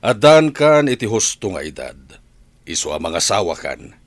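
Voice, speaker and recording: A man's voice: on the low side, big pitch swings, moderate pace, no room sound. A man playing a stern, nagging middle-aged father with an Ilocano accent in a radio drama. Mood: stern, insistent. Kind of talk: comedy radio drama acting.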